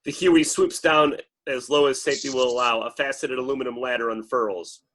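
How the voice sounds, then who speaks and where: A man's voice: mid-range voice, steady tone, a little fast, a bit bright. A man reading stage directions as dramatic scripted narration at a table read.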